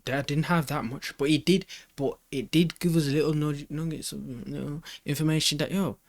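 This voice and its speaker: A man's voice: a little high, steady tone, moderate pace, no room sound, studio quality. A man hosting a reaction video, thinking aloud in a casual, slangy way and often repeating his phrases as he rambles.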